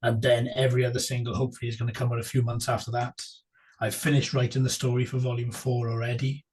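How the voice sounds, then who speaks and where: A husky-voiced man whose first language is Welsh talks through his band's plans in an easygoing interview. He is eager and upbeat, and often repeats himself with "yeah, yeah, yeah" and "absolutely.". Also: mid-range voice, little pitch movement, fast talker, a little mellow. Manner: enthusiastic, repeats words, laughs easily. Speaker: musician guest. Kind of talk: casual interview chat.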